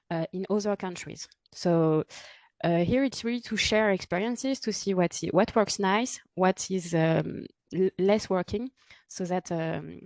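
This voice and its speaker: A woman moderating an online workshop, speaking haltingly with many fillers and self-corrections over a video call. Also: on the low side, darkish tone, dry recording. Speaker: webinar moderator. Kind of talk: introducing a workshop session.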